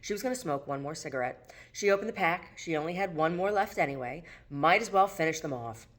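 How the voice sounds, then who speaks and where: A woman's voice: sits low, animated, brisk. A woman narrating her own work of fiction as an audiobook reading. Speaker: author reading own novel aloud.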